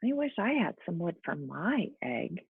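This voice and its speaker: A woman's voice: fairly low pitch, very animated, measured pace, slightly rough, dry recording, exaggerated voice. Woman voicing an eager young boy bunny, phone-like sound. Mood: wistful, polite. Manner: exclaiming, wide-eyed. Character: young male bunny, eager and excitable storybook hero. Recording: muffled, phone-like narrow-band sound.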